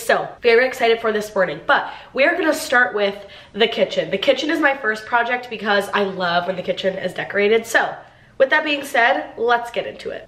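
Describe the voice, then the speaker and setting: A woman's voice: medium pitch, fairly bright tone. A woman with a stuffy, congested nose, chatting upbeat as a lifestyle vlogger while decorating her apartment kitchen. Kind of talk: casual decorating vlog chat. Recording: roomy echo.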